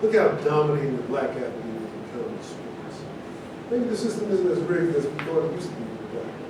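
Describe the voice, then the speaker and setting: A man's voice: animated, a little fast, close to an everyday voice. A man playing a self-assured, contrary man proud of his success, in a staged reading of a play. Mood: defiant. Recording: very echoey room, far mic, noisy rumble.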